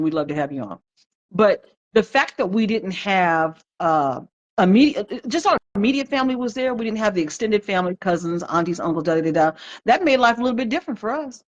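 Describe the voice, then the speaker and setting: A woman in her fifties hosting a live stream chat, heartfelt and reflective, greeting viewers by name as she talks. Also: medium pitch. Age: 50s. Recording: dull lo-fi livestream audio.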